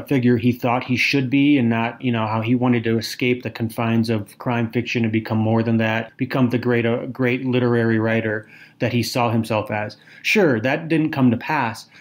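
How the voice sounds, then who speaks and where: A man with a mid-range voice co-hosts a casual book podcast. He talks in a thoughtful, unhurried way, stopping now and then to pick his words. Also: mid-range voice. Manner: thoughtful, reads quotes aloud. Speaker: podcast co-host. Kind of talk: casual literary discussion.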